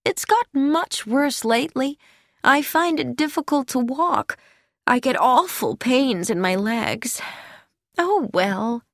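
A woman lifts her voice into a sweet, untroubled elderly lady with a soft and musical way of speaking. She sounds higher than the narration and lilts freely up and down. The recording is close and dry. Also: expressive, slow pace, dry recording, exaggerated voice. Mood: gentle. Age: older. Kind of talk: audiobook character voice.

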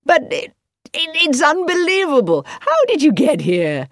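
A woman's voice: high voice, wildly swooping pitch, measured pace, no room sound, clean studio sound, voice pushed up, exaggerated voice. A woman voicing a cheerful, admiring young man who exclaims eagerly.

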